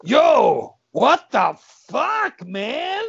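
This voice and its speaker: Tough-guy sidekick put on by a man, comedy storytelling, dim, goofy, high swooping voice, silly slang. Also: expressive, slow pace, a bit bright, dry recording, lo-fi sound, heavily acted. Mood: shocked.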